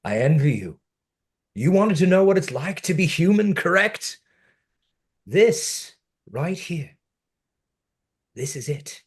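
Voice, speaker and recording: A man acting a melancholy, dry-witted man who has been dead over a century, in a deliberate, brooding table-read delivery. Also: fairly high pitch, expressive, slightly rough, exaggerated voice. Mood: earnest, intense.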